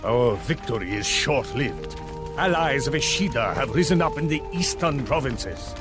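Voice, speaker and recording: Actor as a stern samurai warlord, sweeping intonation. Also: male voice, mid-range voice, lively intonation, over music, lightly acted. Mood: stern, commanding. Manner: deliberate, imperious, aphoristic. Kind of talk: dramatic game cutscene acting.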